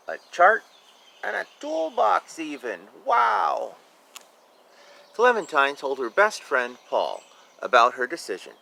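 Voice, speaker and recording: A man's voice: fairly high pitch, creaky, pushed and bright, slight background noise. A man reading a picture book aloud expressively, with informal asides about the illustrations. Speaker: reader of a children's picture book.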